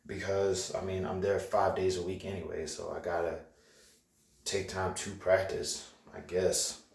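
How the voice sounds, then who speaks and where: Rambling low-voiced young man, echoey room. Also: measured pace, creaky, recorded from a distance. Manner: rambling, animated, with frequent fillers and restarts. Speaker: vlogger. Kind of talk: casual vlog rant.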